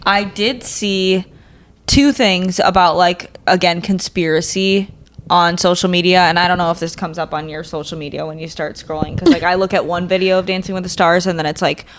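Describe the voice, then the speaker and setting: A woman's voice: lowish voice, fairly even intonation, moderate pace. A woman co-hosting a podcast, bantering casually in a blunt, informal, slangy way. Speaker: podcast co-host.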